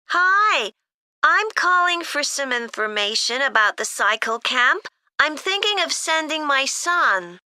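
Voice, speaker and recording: A woman's voice: sits high, big pitch swings, slow, pushed and bright, no echo, exaggerated voice. A woman playing a polite mother making an enquiry call in an acted listening-test dialogue. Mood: politely curious. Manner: polite, inquiring. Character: friendly mother phoning to ask about a kids' camp. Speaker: caller.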